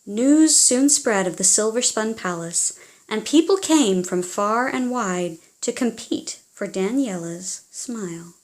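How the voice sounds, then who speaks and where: A woman reading a children's storybook aloud in a theatrical, expressive way, as if speaking directly to young listeners. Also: mid-range voice, takes it slowly. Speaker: children's audiobook reader.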